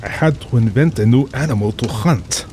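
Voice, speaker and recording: Over rain and ambience comes a man acting a middle-aged aristocratic general in a radio-style drama. He is urbane and cold-blooded, with formal diction and a pompous, amused air. His voice sits at a medium male pitch. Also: normal speed, a little mellow, slight background noise, lightly acted. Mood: suave, boastful.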